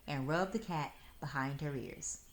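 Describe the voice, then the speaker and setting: A woman reading a children's story aloud in expressive narration. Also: sits low, a little slow.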